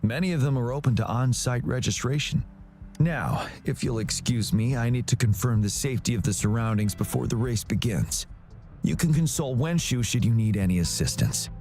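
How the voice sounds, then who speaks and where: An actor playing a dutiful, courteous young military officer in a video game, speaking politely and formally. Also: male voice, medium pitch, moderate pace, dark tone, lightly acted. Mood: composed, helpful.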